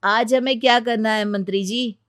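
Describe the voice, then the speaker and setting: A woman's voice: a little high, steady tone, moderate pace, lightly acted. A woman voicing an eager, cocky young man in a cartoon moral story, earnest and argumentative.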